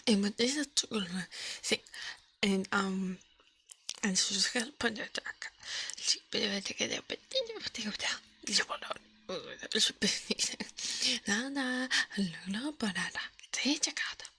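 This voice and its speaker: A woman whispering as an ASMR livestream host, in a breathy murmur with playful alien roleplay and repeated syllables. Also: mid-range voice, expressive, normal speed, bright, forceful tone.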